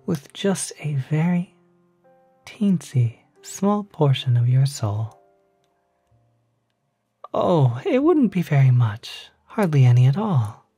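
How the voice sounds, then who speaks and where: Guy doing a polite demon hotel clerk for asmr, super husky n breathy, slow and coaxing, kinda sinister. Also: medium pitch, sing-song, no echo, soft background music, exaggerated voice. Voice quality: soft, breathy, half-whispered. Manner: polite, hesitant, gently persuasive. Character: courteous demon concierge, smooth-talking, quietly sinister. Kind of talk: ASMR roleplay.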